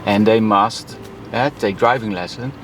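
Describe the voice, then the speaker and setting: A man with a Dutch accent, a driving instructor speaking in a casual interview, halting and simple with lots of fillers. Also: fairly low pitch. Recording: noisy background hum.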